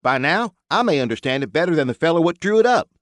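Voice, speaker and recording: A man's voice: on the high side, very animated, fast, no echo, voice pushed up. A man voicing a blunt, bearded male mechanic with a folksy rural drawl.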